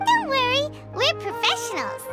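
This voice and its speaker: A woman's voice: extremely high pitch, wildly swooping pitch, moderate pace, heavily acted. A woman voicing a tiny, childlike fairy companion in a video game. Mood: cheeky, confident. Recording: loud music behind the voice.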